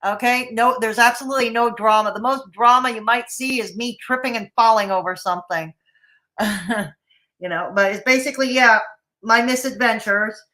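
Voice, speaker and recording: A woman's voice: fairly high pitch, moderate pace, bright, forceful tone, low-quality recording. A woman streamer giving sarcastic, mocking commentary on a live stream.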